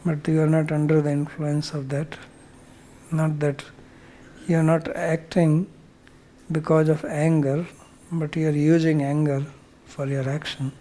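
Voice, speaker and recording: A man's voice: a little high, flat delivery, unhurried, mellow. A man speaking as a spiritual teacher, explaining scripture verse by verse in a plain-spoken way.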